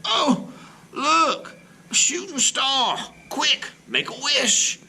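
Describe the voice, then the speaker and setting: A man's voice: very high-pitched, very animated, very slow, pushed and bright, slight background noise, pitched above the performer's own voice, full character voice. A man voicing a kindly, knowledgeable grandmother in a storybook, emphatic and exclaiming. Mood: excited.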